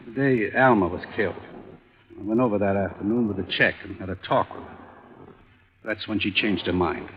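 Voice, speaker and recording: An actor playing a wealthy, pushy murder suspect who keeps offering money, in 1950s radio drama style. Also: male voice, moderate pace, husky. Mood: pleading. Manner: low, bargaining, offers money. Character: rich, pushy murder suspect, middle-aged man. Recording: old lo-fi broadcast, phone-like.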